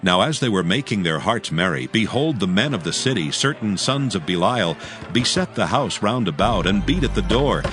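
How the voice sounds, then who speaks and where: A man's voice: fairly low pitch, expressive, normal speed, fairly bright tone, soft background music. A man narrating dramatized scripture in archaic English with a solemn storytelling manner.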